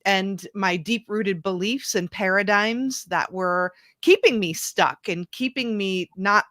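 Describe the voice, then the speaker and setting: A woman's voice: medium pitch, slow, a bit bright, dry recording, clean studio sound. A woman coach in her thirties, a guest telling her story in an earnest, reflective way. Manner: earnest, reflective, restarts and fillers. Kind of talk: conversational interview.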